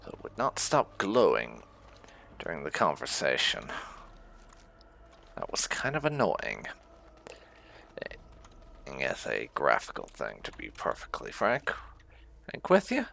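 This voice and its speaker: A man's voice: a bass voice, huge pitch swings, slow pace, vocal fry, long pauses, fairly bright tone, a little hiss or hum. A man giving casual commentary as host of a game playthrough. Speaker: gaming video host.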